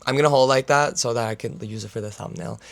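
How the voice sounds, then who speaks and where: A young man in his twenties, a student, chatting casually to camera in a rambling vlog, full of fillers, his voice raspy right now. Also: mid-range voice, quick pace. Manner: filler-heavy, talks with his hands. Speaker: student vlogger.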